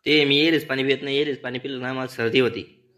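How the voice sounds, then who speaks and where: A man's voice: medium pitch, steady tone, fairly quick, a bit bright, lightly acted. A man playing a grumpy, sarcastic son-in-law in a comedy cartoon, speaking with a rural Maharashtrian accent. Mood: complaining. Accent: rural Maharashtrian Marathi. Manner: complaining, mocking. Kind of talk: comedy cartoon dialogue in colloquial Marathi.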